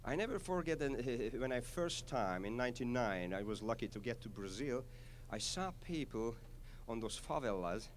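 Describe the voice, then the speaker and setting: Hungarian-accented conductor, mid-pitched, halting, eager, faint ambience behind. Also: male voice, slight background noise. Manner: halting, with frequent "uh" fillers, enthusiastic. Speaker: orchestra conductor. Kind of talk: spoken concert introduction.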